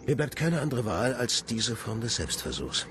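A man's voice: mid-range voice, very animated, normal speed, over music, natural voice. An actor in a radio play playing a secretive, resolute male scientist in a grave, formal monologue. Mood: grave, determined. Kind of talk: German radio play acting. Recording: noticeable noise behind the voice.

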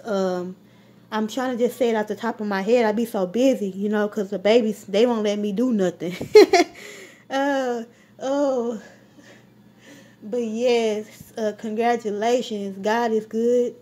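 Slow, mellow-voiced woman vlogging casually. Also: medium pitch. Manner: rambling, full of ums, warm shout-outs. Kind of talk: casual grocery haul vlog. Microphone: phone camera held while filming.

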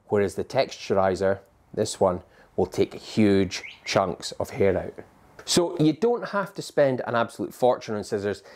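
A man's voice: fairly low pitch, darkish tone. A man in his forties, a barber explaining scissors to camera in a barbershop, in a patient, practical teaching manner. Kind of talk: instructional talk to camera. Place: barbershop interior.

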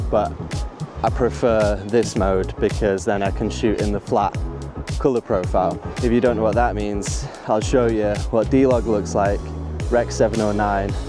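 A young man vlogging outdoors on a rocky beach with a clip-on mic, eager and rambling, laughing at himself. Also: on the low side. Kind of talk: excited casual vlog. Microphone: small clip-on mic.

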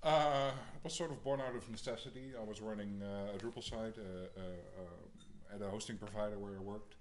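A man's voice: fairly low pitch, normal speed. A man answering questions on stage after winning an award, explaining his work with some hesitation. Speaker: award winner, module developer. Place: large theatre stage.

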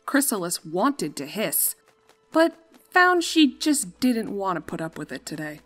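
A woman's voice: mid-range voice, big pitch swings, measured pace, faint music behind. A woman reading scripted storybook-style narration as the narrator of a fan fiction tale.

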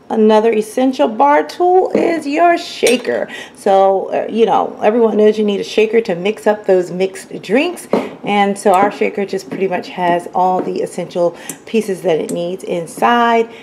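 A woman vlogger casually showing her home bar while filming it, chatty and relaxed, with plenty of um and you know. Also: mid-range voice, gravelly, a little hiss or hum. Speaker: lifestyle vlogger. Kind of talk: casual home tour talk to camera. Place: home basement bar, indoors.

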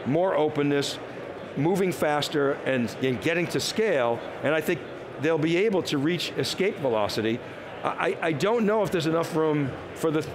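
A man's voice: fairly high pitch, background noise. An older man, co-host and tech analyst, talking through market trends in a live trade show chat, restarting phrases as he thinks aloud. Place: TV set on a busy convention floor.

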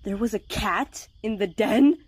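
A woman voicing an eager, earnest young forest cat in an animated comic dub, speaking with urgency. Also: mid-range voice, unhurried, a little hiss or hum, natural voice. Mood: concerned. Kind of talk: comic dub character acting.

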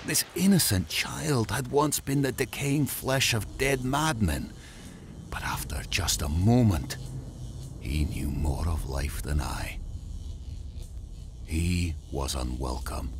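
A man's voice: deep voice, lively intonation, slow pace, slight background noise, exaggerated voice. An actor playing a tormented male mad scientist, delivering a solemn, theatrical rhyming monologue in a gothic horror audio drama. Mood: awed, hushed. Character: tormented, brooding male mad scientist confessing his blasphemy.

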